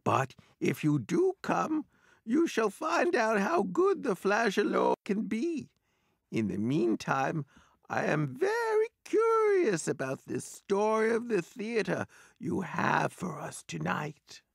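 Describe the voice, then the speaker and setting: A man's voice: high-pitched, wildly swooping pitch, slow, creaky, no room sound, studio quality, full character voice. A man playing a pompous French gourmet host. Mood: genial. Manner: theatrical, affected French formality. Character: pompous food-loving French gentleman host, mischievous. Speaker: show presenter character. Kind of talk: radio drama acting.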